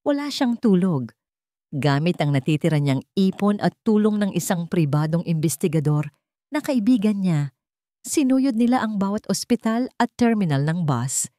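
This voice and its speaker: A woman's voice: fairly low pitch, sing-song, normal speed, warm tone, no echo, clean studio sound. A woman reading a scripted love story as narrator, in a dramatic storytelling style.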